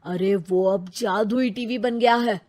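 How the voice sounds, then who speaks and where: A woman's voice: mid-range voice, slow pace, darkish tone. A woman voicing an earnest, sentimental married man, the elder brother in a children's story.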